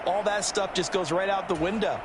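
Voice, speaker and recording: Confident American man, an actor, as folksy colour commentator for a football game; high-pitched, emphatic, heavy background noise. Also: fairly even intonation, a little fast, natural voice. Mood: explanatory, emphatic. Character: confident folksy male football analyst, chummy with partner. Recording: very noisy game ambience with thuds.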